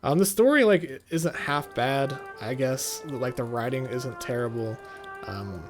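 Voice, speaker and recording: Casual male game commentator, medium pitch, offhand and slightly sardonic, game music underneath. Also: a little slow. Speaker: gaming video host.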